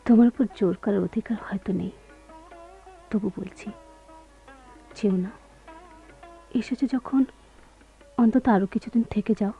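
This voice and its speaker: A woman acting a fond, apologetic young woman in a radio play, coaxing softly, with sitar behind her on a lo-fi recording. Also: mid-range voice, moderate pace, long pauses, close to an everyday voice. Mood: wistful. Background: sitar music.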